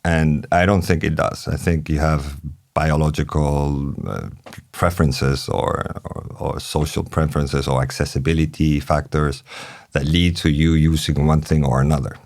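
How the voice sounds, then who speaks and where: A man's voice: rumbling low, little pitch movement, moderate pace, darkish tone. A man hosting an interview podcast, talking in a chatty way and tagging his sentences with "no?". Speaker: podcast host. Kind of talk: conversational interviewing.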